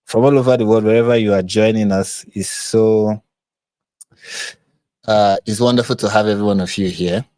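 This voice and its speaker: A man's voice: fairly low pitch, steady tone, normal speed, mellow, no echo. A man with a Nigerian accent, hosting a casual live stream and laughing often as he chats. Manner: laughs often, chatty and playful. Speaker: host.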